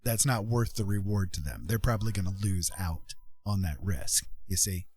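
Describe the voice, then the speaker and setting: A man's voice: low voice, moderate pace, darkish tone, husky. A man chatting casually on a livestream, explaining things conversationally and often checking in with the listener. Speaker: streamer and self-published author.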